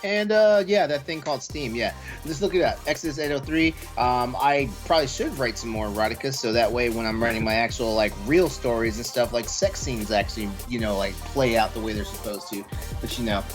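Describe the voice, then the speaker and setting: A man hosts a casual late-night comedy podcast in a medium-pitched voice. He talks in a joking, conversational way, with laughter running through his speech. Music plays behind him. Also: a little fast. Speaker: podcast host.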